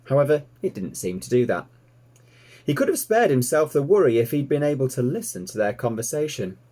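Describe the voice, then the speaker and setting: A man narrating a children's story in an expressive read-aloud style. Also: mid-range voice, a little fast. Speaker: storybook reader, teacher.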